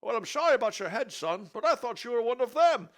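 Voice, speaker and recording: A man's voice: extremely high pitch, very animated, fast talker, fairly bright tone, voice pushed up, heavily acted. A man voicing an absent-minded elderly scholar father in a fussy, raised voice. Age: older.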